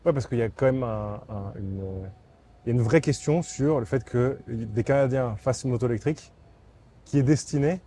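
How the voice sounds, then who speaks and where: A man's voice: medium pitch, normal speed, warm tone, a little hiss or hum. A man interviewing a guest in a casual, chatty and enthusiastic way.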